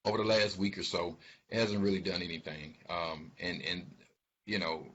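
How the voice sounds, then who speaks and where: A man giving informal financial commentary, speaking haltingly and emphatically, repeating words for stress. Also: low voice, little pitch movement, a bit bright, husky. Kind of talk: informal spoken commentary on an earnings call. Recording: dull, lo-fi sound.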